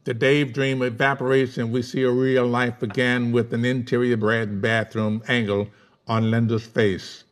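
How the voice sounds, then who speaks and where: A man's voice: mid-range voice, level tone, moderate pace. A man reading out stage directions as narrator of a live script table read.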